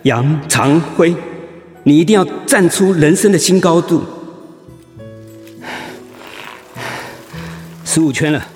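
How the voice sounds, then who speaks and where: An actor playing a determined, encouraging male cancer patient in a Mandarin radio drama, with a husky voice. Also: fairly high pitch, moderate pace, soft background music, natural voice. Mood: fervent, determined.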